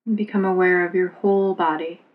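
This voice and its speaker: A woman yoga instructor leading a guided relaxation meditation in a calm, soothing, unhurried manner. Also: fairly low pitch, phone-quality sound.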